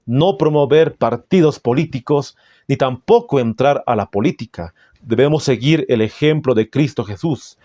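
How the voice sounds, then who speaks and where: A man with a Bolivian Spanish accent preaching in a solemn, didactic way, reading out passages with deliberate phrasing. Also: mid-range voice, warm tone, no room sound. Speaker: Adventist preacher. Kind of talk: sermon with scripture and book readings.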